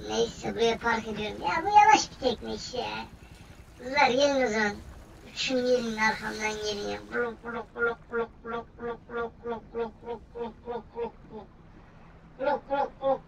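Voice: medium pitch, gravelly, a bit bright, recorded from a distance, slight background noise, poor audio. A gaming host giving casual, playful commentary in slangy banter, often repeating phrases over and over. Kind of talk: casual gameplay commentary, playful role-play.

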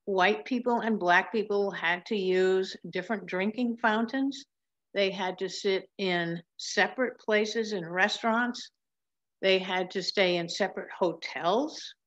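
An older woman reading a picture book aloud in a measured, explanatory manner, recorded on a webcam at home. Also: mid-range voice, fairly bright tone. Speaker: storybook reader. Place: large wood-ceilinged room at home. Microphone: webcam or laptop mic at desk distance. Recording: slightly dull webcam sound.

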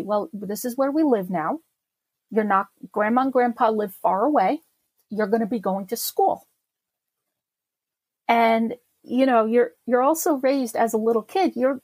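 A woman's voice: a little high, darkish tone, slightly rough, dry recording, low-quality recording. A middle-aged woman from Czechoslovakia, a podcast guest recalling her childhood in a reflective way, with many fillers and restarts. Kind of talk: personal memoir interview.

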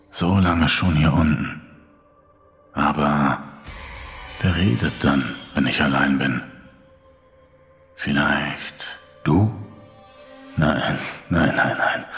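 A man voicing a sinister, menacing inner voice that haunts a lone bunker survivor in a horror story, gravelly and at times breathy. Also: a bass voice, bright, forceful tone, voice pushed down, full character voice. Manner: slow, menacing, drawn-out. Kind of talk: German horror audiobook narration. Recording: long reverb over background music.

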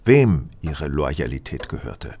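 An actor in a German audio drama playing a shrewd, cool gentleman detective who speaks in a measured, precise, superior way. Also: extremely low pitch, big pitch swings, slight background noise, heavily acted. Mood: grave, subdued.

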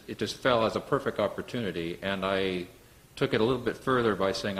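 A middle-aged man, a theater director, explaining things casually and earnestly in a halting way through a cloth mask. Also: deep voice, slight background noise, low-quality recording. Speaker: radio play director, interview guest. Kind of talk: casual explanatory live interview. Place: indoor theater set. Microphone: speaking through a cloth mask.

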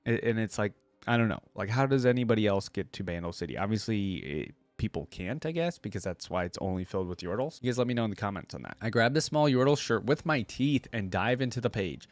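A man's voice: fairly low pitch, lively intonation, fast talker, few pauses, no room sound. A young man reading a story aloud and commenting casually as a reaction video host. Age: young adult.

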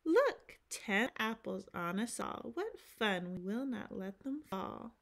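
A woman in her thirties reading aloud to young children as a preschool teacher, phrasing simply and clearly. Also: medium pitch, big pitch swings, takes it slowly. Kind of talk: children's storytime read-aloud.

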